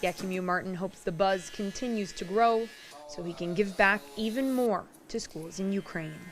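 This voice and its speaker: A woman delivers scripted news narration as a reporter. Her voice is mid-pitched. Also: moderate pace.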